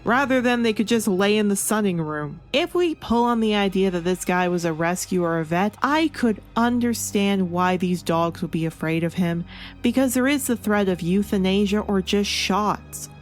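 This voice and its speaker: A woman's voice: mid-range voice, animated, moderate pace, soft background music. A woman delivering scripted video essay commentary as a review host, explaining her opinions and stressing key words. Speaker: comic book review host.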